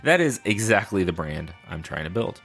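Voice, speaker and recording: Animated low-pitched man hosting a podcast. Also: moderate pace, soft background music. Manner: informal, playful, chatty. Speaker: podcast host. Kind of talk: casual solo podcast talk.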